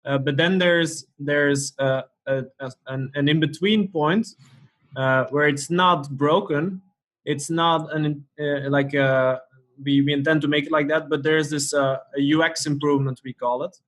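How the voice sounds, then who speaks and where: Higher-pitched man, halting interviewer. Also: fairly even intonation, a bit bright. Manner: hesitant, halting phrasing with repeated words. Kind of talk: casual interview chat.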